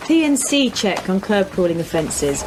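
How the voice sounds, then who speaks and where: An actress playing a matter-of-fact British police detective in an audio drama, factual and direct. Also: female voice, mid-range voice, sing-song, moderate pace, lightly acted. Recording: clear background noise.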